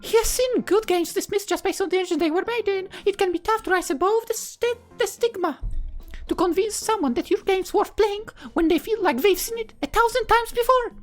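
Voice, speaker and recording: A man voicing a chipper female visual-novel character who explains things eagerly in a squeaky falsetto. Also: fairly quick, soft background music. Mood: earnest explaining.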